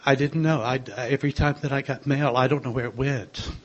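An older man with a soft voice, sharing his own story in a gentle, wry way. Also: mid-range voice, steady tone, fairly quick, muffled recording. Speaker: speaker sharing a recovery story. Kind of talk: personal testimony to a group.

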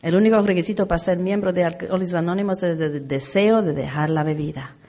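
A woman's voice: on the low side, quick pace, dark tone. A woman from Puerto Rico giving her personal testimony at a recovery meeting, hesitant, with many fillers and restarts. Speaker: AA meeting member sharing.

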